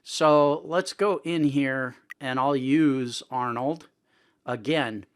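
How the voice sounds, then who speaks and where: A man's voice: medium pitch, takes it slowly, clear, resonant tone. A man presenting a casual tutorial in a conversational way, thinking aloud as he goes. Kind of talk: casual screen-recording tutorial talk.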